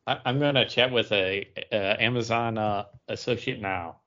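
A man's voice: lowish voice, moderate pace, pushed and bright, muffled recording. A man co-hosting a podcast, explaining things in casual conversation. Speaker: podcast co-host.

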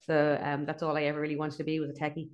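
A woman's voice: low-pitched, monotone, racing, highs cut off. A middle-aged Irish woman, a company chief, chatting casually as an interview guest and laughing between points. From Ireland (Dublin).

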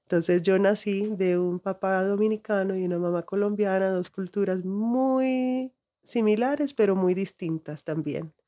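A woman's voice: mid-range voice, measured pace, dark tone. A middle-aged woman, raised in the United States, reflectively telling her life story as an interview guest, restarting phrases often. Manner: reflective, frequent restarts and laughs.

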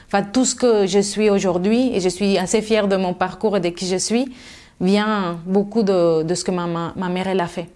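A woman's voice: medium pitch, steady tone, normal speed, darkish tone. A middle-aged woman from Mexico, answering interview questions thoughtfully with many fillers and restarts. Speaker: guest.

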